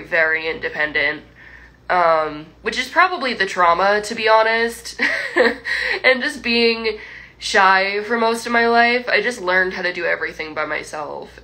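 Bright-voiced young woman chatting to fans. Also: mid-range voice. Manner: rambling, many likes and restarts, laughs often. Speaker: musician livestreaming to fans. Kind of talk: casual chat with viewers. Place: at home. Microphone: phone livestream.

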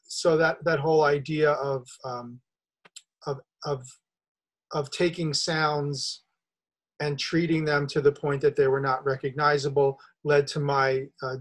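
A middle-aged man hosting a podcast over a video call, explaining his ideas in a measured, thoughtful way. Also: mid-range voice. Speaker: podcast host. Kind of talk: interview conversation. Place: home studio room, video call. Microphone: video call webcam.

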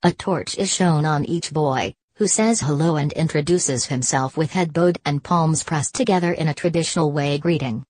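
A woman with a deep, low voice delivers a scripted news story. Her read is even and measured, and the recording is dry, with no room sound. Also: husky, dry recording. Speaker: news narrator.

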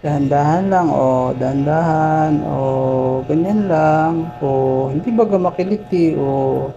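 Patient barber, mellow voice. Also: male voice, a little high, measured pace, vocal fry. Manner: patient, soothing, repeats short reassurances. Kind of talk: casual coaxing talk to a child. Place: small barbershop. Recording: dull, noisy phone recording.

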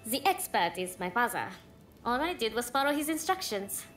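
A modest young female healer, bashful and easily flustered. Her high voice swings animatedly in game cutscene dialogue, with clear music behind it. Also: sing-song, normal speed, a bit bright. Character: modest, flustered young woman who tends the wounded. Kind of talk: dramatic video game cutscene acting.

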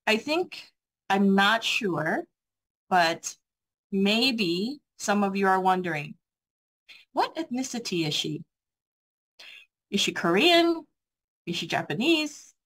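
A woman's voice: medium pitch, fairly bright tone. American woman, motivational seminar speaker over a video call, earnest and encouraging. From USA. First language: English. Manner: earnest, encouraging, asks the audience rhetorical questions. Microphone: video call mic.

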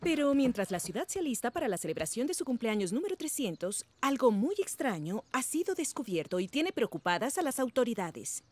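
A woman's voice: on the high side, sing-song, a little fast, few pauses, a little mellow, a little hiss or hum. A woman voicing an earnest, nervous cartoon TV news reporter with a Latin American Spanish accent and an announcing cadence. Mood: earnest reporting.

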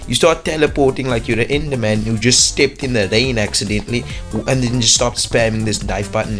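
A man's voice: mid-range voice, fairly bright tone. A young man casually commentating a mobile game, rambling and joking in slangy chat. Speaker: gaming YouTuber.

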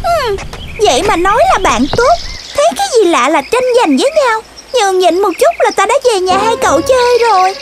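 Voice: very animated, fairly quick, very noisy. A performer voicing a tiny, dramatic, easily offended talking creature in a children's cartoon, childlike and theatrical. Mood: huffy, scolding. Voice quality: childlike, very high. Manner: theatrical, exclamatory. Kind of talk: children's animated cartoon voice acting.

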